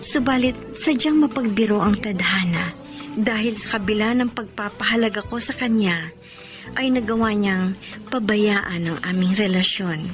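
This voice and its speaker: A woman's voice: mid-range voice, normal speed, close to an everyday voice. An actress in a Filipino radio drama playing a devoted, wounded woman leaving her partner, in a heartfelt, melodramatic way. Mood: wistful narration. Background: background music.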